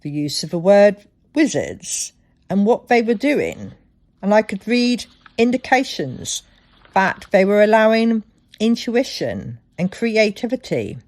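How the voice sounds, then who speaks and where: A woman hosting a solo podcast, telling things reflectively with deliberate, dramatic phrasing. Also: medium pitch, very animated, darkish tone. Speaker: podcast host.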